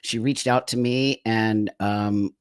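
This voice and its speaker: A man's voice: on the low side, steady tone, slow, clean tone, no echo, clean studio sound. A man hosting a casual live stream, effusive and rambling, chatting over a video call. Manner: effusive, rambling, laughs often. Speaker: host.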